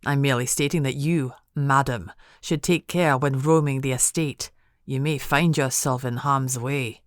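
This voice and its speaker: A man's voice: medium pitch, steady tone, unhurried, dry recording, studio quality, close to an everyday voice. A woman voicing an indignant, threatening male servant who barks his words. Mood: smug. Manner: barking, brusque.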